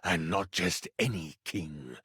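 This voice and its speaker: A man voicing a ruthless, proud and ancient evil king in a video game, speaking in a menacing, grandiose, deliberate way. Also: sits low, bright, forceful tone, breathy edge, no room sound, studio quality, voice pushed down, full character voice. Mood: menacing, imperious. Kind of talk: villain monologue for a video game.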